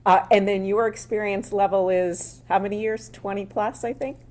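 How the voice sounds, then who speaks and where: A woman attorney questions a witness in court in a loud, mid-pitched voice. She keeps her tone conversational and plainspoken, firing off quick follow-up questions. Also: fairly even intonation.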